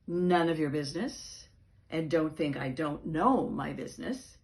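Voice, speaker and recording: A woman's voice: sits low, measured pace, a little mellow. A woman voicing a brusque, proud mother cat with a curt, scolding manner in a storybook read-aloud.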